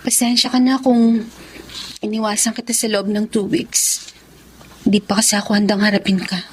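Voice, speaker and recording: A woman's voice: mid-range voice, little pitch movement, moderate pace, a little hiss or hum, lightly acted, low-quality recording. An actress playing a hurt, withdrawn young girlfriend who holds back, in a radio drama. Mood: accusing, hurt.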